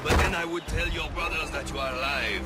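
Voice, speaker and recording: An actor playing a smug, taunting smuggler in a dramatic game cutscene, speaking. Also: male voice, fairly high pitch, steady tone, fairly quick, lightly acted. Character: smug French smuggler assassin, sly and taunting. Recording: loud cinematic game music behind.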